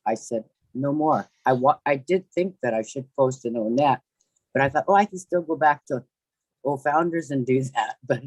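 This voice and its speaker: A man's voice: medium pitch, darkish tone, dry recording, rough recording. A man giving an earnest, preachy testimonial on a video call, repeating his phrases. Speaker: webinar participant. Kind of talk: faith-filled testimonial.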